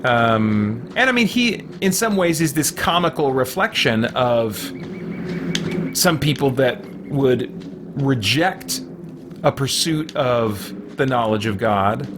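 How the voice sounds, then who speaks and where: A man's voice: medium pitch, lively intonation, slow pace, background noise. A middle-aged man giving casual let's play commentary, musing and thinking aloud as he goes. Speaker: gaming video host. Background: music.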